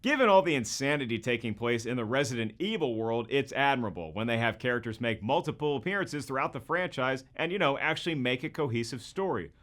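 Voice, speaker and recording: A man's voice: mid-range voice, expressive, fast talker, hardly any pauses, fairly bright tone. Man hosting a jokey movie commentary. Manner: jokey, colloquial, emphatic. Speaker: host. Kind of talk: scripted video commentary.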